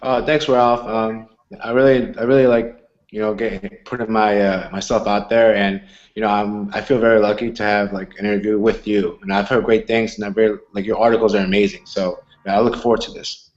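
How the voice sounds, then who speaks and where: A man's voice: on the low side, level tone, brisk, highs cut off. Earnest young man from Argentina. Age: 20s. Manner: earnest, rambling with restarts. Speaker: MMA fighter. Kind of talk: interview answers.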